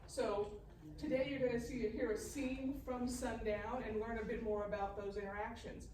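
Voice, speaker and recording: A woman's voice: on the high side, fairly even intonation, moderate pace, a little mellow. A woman writer presenting at a live table read. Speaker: scriptwriter and presenter. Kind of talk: introduction and screenplay narration read aloud. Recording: noisy echoey room, distant mic.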